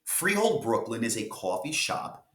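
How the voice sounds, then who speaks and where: A man's voice: medium pitch, very animated, a little slow, fairly bright tone. A man reading a news article aloud from a script. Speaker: reader of an article. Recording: echoey room.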